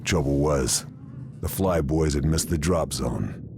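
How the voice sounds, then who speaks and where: An actor playing a hardened American wartime sniper officer, narrating a war game in a terse, world-weary, laconic manner. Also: male voice, rumbling low, a little slow, noisy background. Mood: terse, resolute. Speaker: narrator and protagonist.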